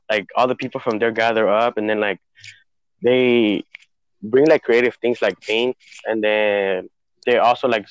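A man's voice: on the low side, slight background noise, rough recording. A young man from Honduras co-hosting a casual podcast chat, rambling with many fillers and slipping into Spanish words. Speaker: podcast co-host.

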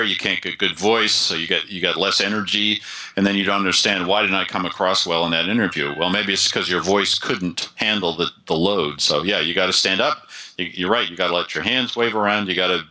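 A male voice coach being interviewed, his pitch very deep but his tone strikingly bright and forceful. He explains things with confident authority, often repeating words as he restarts a phrase. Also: fairly even intonation, brisk, low-quality recording.

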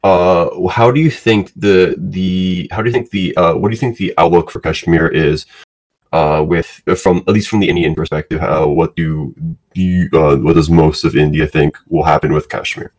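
A man hosting a podcast interview, asking halting questions packed with "uh" and "um". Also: low-pitched, steady tone, a little mellow, low-quality recording. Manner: halting, many "uh" and "um". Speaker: podcast host.

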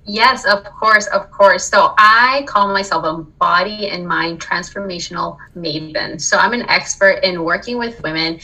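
A woman's voice: fairly low pitch, unhurried, few pauses, pushed and bright, far from the mic, poor audio. A woman from Colombia, a wellness coach, telling her story earnestly in a casual interview, often checking in with "right?". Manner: earnest, reflective, frequent "right?" and laughs. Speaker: podcast guest, wellness coach.

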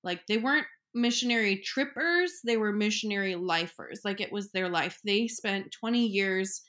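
A woman's voice: medium pitch, moderate pace, a bit bright. Young woman guest, reflective, chatty storyteller, casual podcast talk. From Ecuador. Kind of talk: casual chat.

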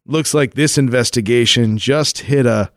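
A man's voice: mid-range voice, normal speed, dry recording, pitched below the performer's own voice, close to an everyday voice. Man as a jaunty 1940s radio adventurer. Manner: old-time adventurer patter, calling others "pal" and "friend". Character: jaunty 1940s time-travelling male investigator who loves puns.

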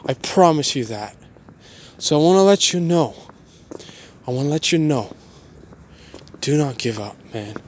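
A man of twenty-one giving a motivational personal monologue in a halting, repetitive, emphatic way. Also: fairly high pitch, lively intonation, a little mellow, a little hiss or hum.

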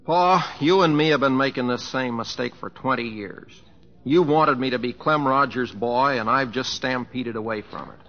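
An actor playing an easygoing, restless young cowboy in an old radio drama. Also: male voice, mid-range voice, moderate pace, fairly bright tone, close to an everyday voice. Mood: earnest. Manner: drawling, plain-spoken, folksy. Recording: dull old radio recording.

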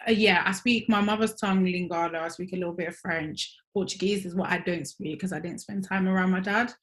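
Woman, twenties, from angola, slightly low voice, relaxed, trails off softly. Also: brisk. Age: 20s. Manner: easygoing, trails off softly at phrase ends. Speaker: guest, gym franchise owner. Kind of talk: relaxed interview conversation.

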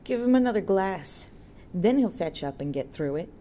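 A woman voicing a rough, jovial male pub drinker calling out loudly. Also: fairly low pitch, lively intonation, moderate pace, a little hiss or hum, natural voice. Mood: coaxing.

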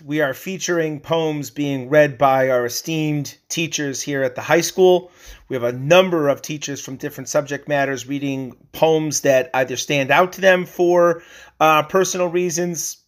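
A man's voice: fairly high pitch, steady tone, unhurried. A man speaking as the host of a podcast, giving an introduction.

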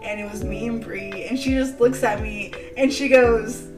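A woman's voice: mid-range voice, steady tone, normal speed, recorded from a distance, music in the background. A woman in her twenties hosting a casual podcast, chatty and giggly, full of "like" fillers. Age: 20s. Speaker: podcast host. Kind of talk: casual chat.

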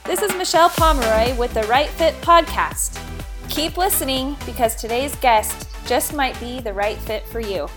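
A woman's voice: high voice, normal speed, over music. A woman hosting a podcast, introducing the episode and her guest with enthusiasm. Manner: warm, enthusiastic delivery. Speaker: podcast host. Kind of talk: scripted show introduction.

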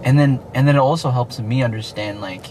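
A man's voice: mid-range voice, brisk, background noise. A man hosting a casual faith podcast, talking hesitantly with laughs and trailing-off sentences. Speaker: podcast host.

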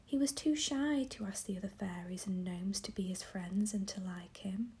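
A woman reading a fairy tale aloud in a soft, unvoiced whisper, like a bedtime story. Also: mid-range voice, moderate pace.

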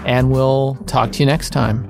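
A male podcast co-host reading the closing credits in a measured way. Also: mid-range voice, flat delivery, creaky, darkish tone, faint music behind.

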